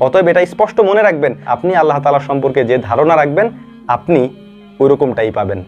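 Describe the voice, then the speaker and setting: A man's voice: fairly high pitch, animated, quick pace, a little mellow. A man giving earnest, informal explanatory commentary, pressing his points with emphatic repetition. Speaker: video narrator and commentator. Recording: dull lo-fi voice track over music. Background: music.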